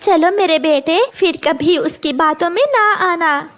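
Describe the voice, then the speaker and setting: A woman's voice: very high-pitched, unhurried, a bit bright, voice pushed up. A woman voicing an anxious, loving mother sparrow in a children's cartoon, pleading and tender. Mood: relieved, tender. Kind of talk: children's animated story dialogue.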